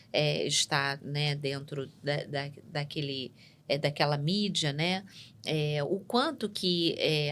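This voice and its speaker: A woman psychologist, explaining ideas in a measured way on a studio podcast and often checking in with "né?". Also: low-pitched, fairly bright tone. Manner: measured and explanatory, tags points with "né?". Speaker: psychologist guest. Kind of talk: explanatory interview talk.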